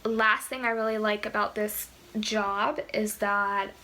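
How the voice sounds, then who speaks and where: A quiet-voiced woman in her twenties talking casually to camera, hesitant and full of fillers. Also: medium pitch, steady tone, unhurried, a little hiss or hum. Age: 20s. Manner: soft-spoken, hesitant with many fillers. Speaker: vlogger.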